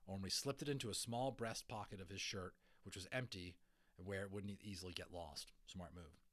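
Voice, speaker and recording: A man's voice: deep voice, normal speed, fairly bright tone, no room sound. A man reading a children's novel aloud as dramatic storybook narration. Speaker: amateur audiobook reader.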